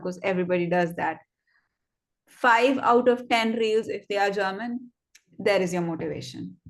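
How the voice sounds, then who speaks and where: Chatty young woman giving friendly advice. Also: a little high. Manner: chatty, encouraging, quick asides with fillers. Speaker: host of a student network session. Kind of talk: interactive online session, casual advice.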